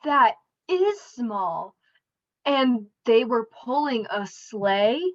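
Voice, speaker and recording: A woman's voice: a little high, animated, drawn-out words. A young woman acting in a radio play as an earnest, incredulous interviewer questioning a witness, over a video call. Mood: fascinated. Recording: dull video call audio.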